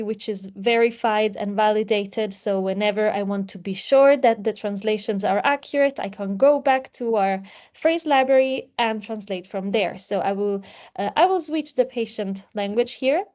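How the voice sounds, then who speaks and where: A woman's voice: a little high, normal speed, darkish tone. Woman presenting a product demo. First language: Italian. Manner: explanatory, with frequent um fillers. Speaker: webinar presenter.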